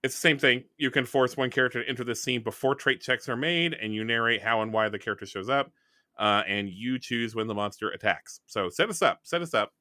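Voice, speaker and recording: Bright punchy voice, dry recording, casual tabletop rules chat. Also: mid-range voice, a little fast, dry recording. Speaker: tabletop game player explaining rules.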